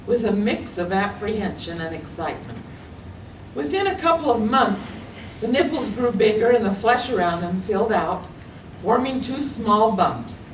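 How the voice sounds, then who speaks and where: An older woman with an American accent reads a personal story aloud expressively at a public reading. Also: a little high. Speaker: writer reading own work. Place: public reading in a library room. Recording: echoey room, distant mic, faint noise.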